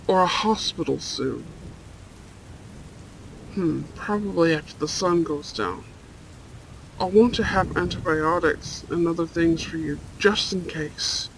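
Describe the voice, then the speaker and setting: Handsome vampire played by a man for roleplay ASMR: slightly high male voice, unhurried, soothing, breathy, seductive and protective. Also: low-quality recording. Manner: soothing, breathy murmurs, often dropping to whispers. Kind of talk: roleplay ASMR, intimate and soft-spoken. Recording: faint storm noise behind. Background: rain and thunder.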